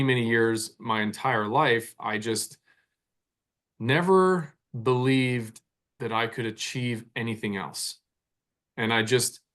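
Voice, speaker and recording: A man's voice: on the low side, fairly bright tone. A man from Canada in his forties, a mindset coach speaking as a podcast guest in a reflective way, often repeating words as he thinks. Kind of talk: conversational podcast interview.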